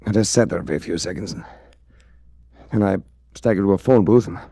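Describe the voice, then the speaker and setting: A hard-boiled 1940s radio investigator. He is urbane and wry, with a low, very mellow voice and a dry delivery, on a restored vintage broadcast recording. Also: fairly quick, gravelly, no echo. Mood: weary narration. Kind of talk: 1940s radio detective acting and narration.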